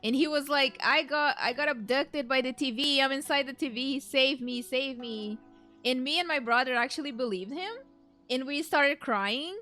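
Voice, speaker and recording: High-voiced chatty young woman streamer. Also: moderate pace, pushed and bright. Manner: chatty, giggly, hesitant storytelling. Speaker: streamer playing a video game. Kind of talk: casual let's play commentary. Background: game music.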